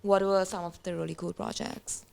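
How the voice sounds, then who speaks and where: A woman asking a panelist a question, steady and even. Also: fairly low pitch, moderate pace, gravelly, smooth, no echo, studio quality.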